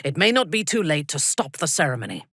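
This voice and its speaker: A woman playing a haughty, ruthless dark elf warrior in a video game, speaking in an imperious, deliberate, measured way. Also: low voice, very animated, breathy edge, dry recording, studio quality, exaggerated voice. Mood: cool, measured. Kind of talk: dramatic video game character acting.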